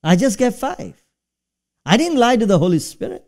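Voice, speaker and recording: A man's voice: very high-pitched, sing-song, moderate pace, darkish tone. A middle-aged man from Singapore, preaching a sermon from a church stage and asking the congregation questions. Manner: conversational preaching, asks the audience questions. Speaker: pastor.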